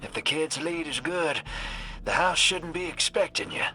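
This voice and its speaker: A man's voice: on the high side, moderate pace, bright, forceful tone, rough recording. An actor playing a wry, streetwise male companion in a video game, talking in a clipped, folksy way. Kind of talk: video game dialogue acting.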